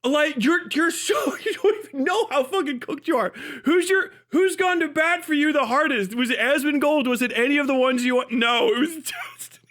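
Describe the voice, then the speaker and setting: A man livestreaming in casual chat, mocking and animated, swearing freely as he rants. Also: very high voice, normal speed. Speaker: live streamer.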